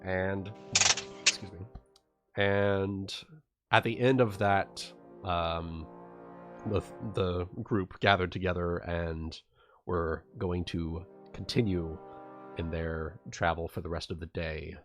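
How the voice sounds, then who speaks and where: A man narrating a live tabletop roleplaying session as game master, halting as he searches for words. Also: low voice, fairly even intonation, a bit bright. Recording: music playing behind the voice. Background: background music.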